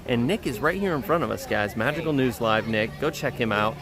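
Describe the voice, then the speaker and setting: Slightly hoarse man hosting a live stream. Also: mid-range voice, lively intonation, fairly quick. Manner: chatty, addresses viewers and friends. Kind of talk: casual live-stream chat. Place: outdoors at a busy theme park.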